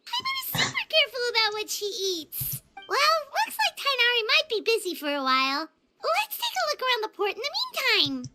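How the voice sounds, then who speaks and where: A woman voicing a tiny, excitable childlike fairy companion in a video game, squeaky and exclamatory, calling herself by name. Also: fairly quick, heavy background noise, full character voice. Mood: indignant, chatty. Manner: speaks of herself in third person, exclamatory.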